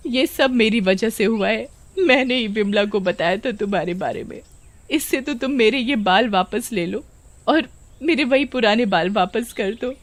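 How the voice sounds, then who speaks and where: A woman voicing expressive, exclamatory village women in a children's cartoon story. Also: a little high, moderate pace, no room sound, natural voice. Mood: indignant. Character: village women, eager, chatty and indignant.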